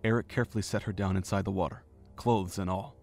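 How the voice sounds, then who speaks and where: Male narrator, lowish voice, measured reading, faint music behind. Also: steady tone, gravelly, a little mellow. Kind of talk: scripted story narration.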